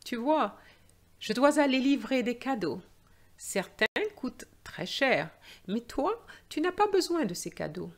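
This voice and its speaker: Storybook Father Christmas, read by a woman: kindly, earnest old gift-giver, raised pitch, very expressive intonation. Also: unhurried, heavily acted. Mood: kind, reassuring. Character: kindly Father Christmas, old man giving gifts and advice. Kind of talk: storybook read-aloud dialogue.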